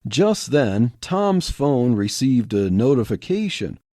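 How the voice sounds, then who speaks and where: A man's voice: medium pitch, very animated, slow pace, mellow, no echo, studio quality. A man reading story narration for a fiction podcast in plain storytelling manner. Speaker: podcast reader.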